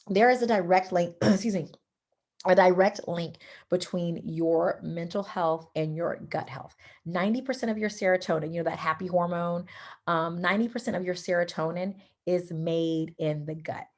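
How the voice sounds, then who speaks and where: A hoarse, raspy woman from Louisiana with a Southern accent, chatting through a sales presentation on a video call, full of fillers and y'alls. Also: on the low side, moderate pace, darkish tone. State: hoarse from talking too much. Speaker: supplement seller presenting on a call.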